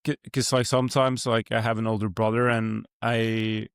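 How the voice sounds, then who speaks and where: A man's voice: lowish voice, level tone, normal speed, clear, resonant tone, no room sound, clean studio sound. A young man from Norway with a Norwegian accent, hosting a podcast and musing in a rambling, reflective way with many fillers. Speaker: podcast host. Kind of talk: casual podcast conversation.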